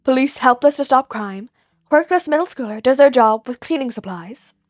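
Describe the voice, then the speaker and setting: A woman's voice: high-pitched, animated, moderate pace, no room sound, studio quality, soft background music. A woman reading a scripted fan fiction story aloud as its narrator. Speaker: podfic narrator.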